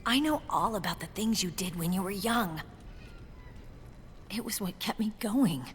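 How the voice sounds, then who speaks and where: A woman's voice: medium pitch, animated, slight background noise, natural voice. A woman playing a tough, earnest military commander with a wounded heart in a dramatic video game cutscene. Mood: earnest, pleading. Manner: deliberate, pleading, forceful.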